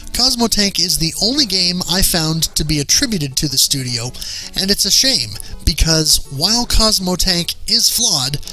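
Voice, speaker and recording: A man with a fairly high, bright and forceful voice reads a scripted game review. His delivery is measured and theatrical, like a formal dramatic reading. Faint music plays behind him. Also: poor audio. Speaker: video game review host. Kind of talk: scripted narration.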